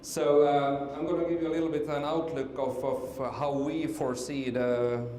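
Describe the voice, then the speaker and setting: A man's voice: a little high, flat delivery, normal speed, warm tone. A middle-aged man from Finland giving a conference presentation, hesitant, with many fillers and restarts. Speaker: conference speaker. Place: conference hall. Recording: echoey hall with faint noise.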